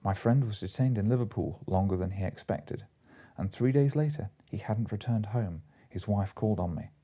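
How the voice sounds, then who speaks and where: A man's voice: fairly low pitch, moderate pace, dark tone. A man narrating classic comic fiction as an audiobook reader, from a script.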